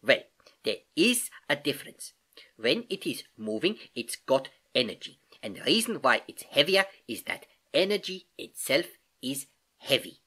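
Narrator doing old jolly uncle from a kids science story, excited explainer, very up and down, bit husky. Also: medium pitch, unhurried, pitched above the performer's own voice, exaggerated voice. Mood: emphatic explaining. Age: older. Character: elderly uncle, jovial, eager explainer of science.

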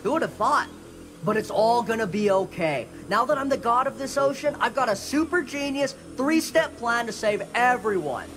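Very high-pitched smug sea-creature tycoon. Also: male voice, expressive, normal speed, a bit bright, slight background noise. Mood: glib, boastful. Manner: glib salesman patter, boastful and breezy. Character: smug, manic, self-deluded sea-creature businessman turned god. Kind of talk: animated video game cutscene acting.

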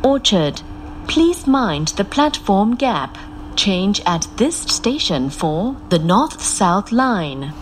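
A woman making scripted train announcements in a formal, clearly enunciated, measured manner. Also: mid-range voice, very animated, background noise. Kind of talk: scripted recorded transit announcements. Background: loud moving train ambience.

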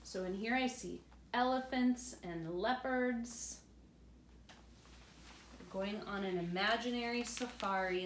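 A woman's voice: on the high side, a bit bright. A middle-aged woman reading a picture book aloud and explaining it to young students as their teacher. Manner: explanatory, addressing young children. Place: indoor room at home, by glass door. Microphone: camera a few feet away. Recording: roomy echo, faint room noise.

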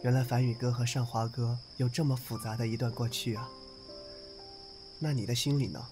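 A man playing an earnest, selfless young man in an audio drama, speaking softly and sincerely. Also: medium pitch, steady tone, a little fast, music in the background, close to an everyday voice. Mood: gently probing.

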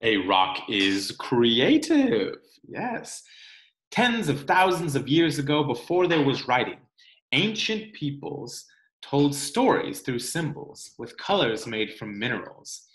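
A man reads a picture book aloud for children at an unhurried pace. His delivery is expressive and teacherly, with pitch that moves up and down a lot. The sound is roomy, like a webcam in a home. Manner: expressive, deliberate, teacherly with questions to listeners. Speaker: children's read-aloud presenter. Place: indoor room at home.

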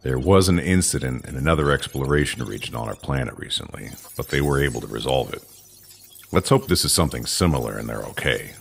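An actor playing a commanding, guarded alien council official in a sci-fi audio drama, with a gravelly, husky voice and questioning manner. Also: male voice, rumbling low, expressive, fast talker, slight background noise, heavily acted. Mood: reassuring, cautious. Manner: authoritative, questioning.

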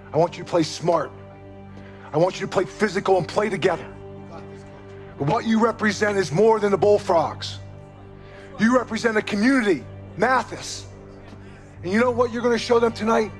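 A man's voice: on the high side, lightly acted. A man playing a fatherly veteran football coach in a game cutscene, giving a plain-spoken, emphatic, resolute pep talk. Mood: rousing.

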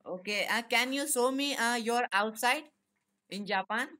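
A young man with an Indian accent chats casually on a phone video call, asking questions haltingly in simple, repetitive English. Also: extremely high pitch, sharp tone. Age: young adult. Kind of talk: casual chat with strangers.

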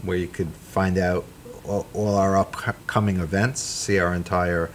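Gruff-voiced middle-aged man, low-pitched. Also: level tone, slight background noise. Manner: easygoing, halting with fillers. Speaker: musician guest. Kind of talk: casual chat. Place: small studio set.

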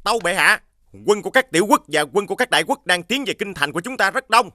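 A man's voice: high voice, animated, fast talker, pushed and bright, faint music behind, exaggerated voice. A man voicing a dutiful, deferential royal attendant in a fairy-tale cartoon. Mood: alarmed. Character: dutiful, deferential royal servant bringing reports.

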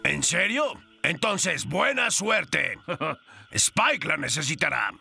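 A man voicing a gruff, stubborn, dismissive horned dinosaur in a cartoon dub, with a gravelly voice. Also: a little high, very animated, slow pace, background noise, full character voice. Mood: gruff, scornful. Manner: blustering, dismissive. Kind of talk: animated film dub acting.

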